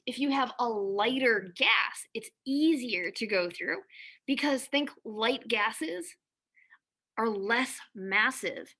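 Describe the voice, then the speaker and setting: A woman in her thirties, a physics teacher giving a recorded lesson, chatty and quick to laugh, filmed at a laptop camera in a classroom. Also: a little high, bright, forceful tone. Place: classroom with whiteboard.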